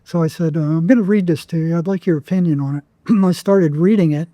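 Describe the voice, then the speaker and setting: Man with a very dark, mellow voice. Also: fairly high pitch, fast talker, no echo. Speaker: podcast guest, psychotherapist. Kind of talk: conversational interview storytelling.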